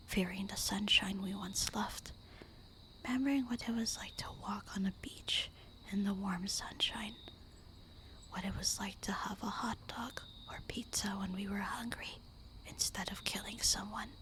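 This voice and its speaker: A woman's voice: mid-range voice, bright, forceful tone. A woman playing a loving, melancholy vampire wife in a tender, intimate whispered ASMR roleplay monologue. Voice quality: breathy, unvoiced whisper.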